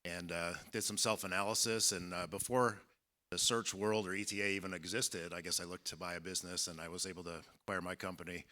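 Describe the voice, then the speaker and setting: A man in his fifties speaking as a panelist at a conference, measured and reflective, with many fillers. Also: low voice, steady tone, sharp tone. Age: 50s. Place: conference hall.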